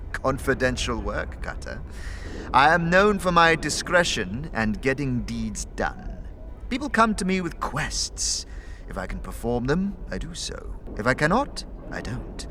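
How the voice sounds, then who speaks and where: Casting: male actor as a bright-voiced, nervous mage for hire, secretive and courteous, wildly animated pitch, audio drama. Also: full character voice. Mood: guarded, courteous. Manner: hesitant, halting, effusive. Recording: faint background noise.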